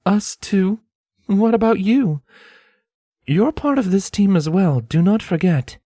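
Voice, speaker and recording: A cautious, protective pony mare, acted out by a man, speaks in a raised, high voice. She is wry and pragmatic, choosing plain, slightly formal words in a measured way, as in a dramatized storybook. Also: lively intonation, mellow, no echo, clean studio sound, exaggerated voice. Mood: questioning, concerned.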